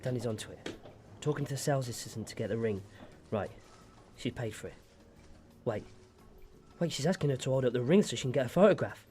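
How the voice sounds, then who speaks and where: An actor playing a reluctant retired criminal and worried husband in a naturalistic radio drama. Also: male voice, medium pitch, brisk, warm tone, faint music behind, natural voice. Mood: tense, focused. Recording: clear background noise with clicks.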